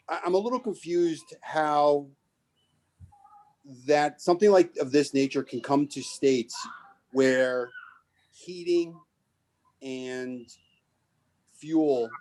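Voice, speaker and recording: A man's voice: a little high, darkish tone. Earnest man pressing his concerns. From Maine. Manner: earnest, repeats himself, quickens when pressing a point. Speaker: resident making public comment. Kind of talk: public comment at a town meeting.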